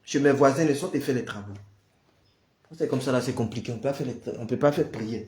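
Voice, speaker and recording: A man's voice: medium pitch, brisk, echoey room. A man with the flu, leading a prayer livestream and chatting casually with viewers, greeting them by name. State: has the flu. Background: noise of building work.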